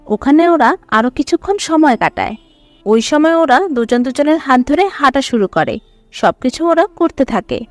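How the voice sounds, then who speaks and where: A woman's voice: high voice, no room sound. A woman narrator reading a scripted dramatic story.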